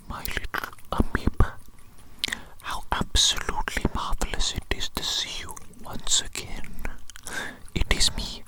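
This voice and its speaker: A woman playing a doting, eccentric evil doctor in a whispered ASMR roleplay, breathy, fussy and affectionate, repeating phrases slowly. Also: natural voice, low-quality recording. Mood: doting, pleased.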